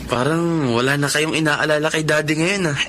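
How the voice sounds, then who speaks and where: A man plays a gentle, fair-minded young Filipino son in a radio drama. His voice is a bit high for a man. Slight noise runs behind it. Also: moderate pace, natural voice. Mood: fond. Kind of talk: Tagalog radio drama acting.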